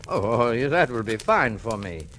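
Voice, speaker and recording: An actor playing a mild, concerned friend with a soothing, hesitant manner in a 1940s radio suspense drama, on an old recording. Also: male voice, medium pitch, sing-song, unhurried, a bit bright. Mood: gently concerned. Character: mild, concerned male friend of a distraught husband. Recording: old radio recording, faint noise, clicks.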